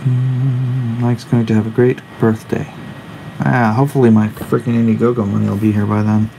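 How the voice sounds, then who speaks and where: Man, 40s, creaky mid-range voice, offhand livestream chatter with slangy asides, faint room hum behind. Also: mid-range voice, little pitch movement, moderate pace. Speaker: comic artist hosting a live drawing stream. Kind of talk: casual livestream chat, reading and answering viewer comments. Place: indoors at a drawing desk.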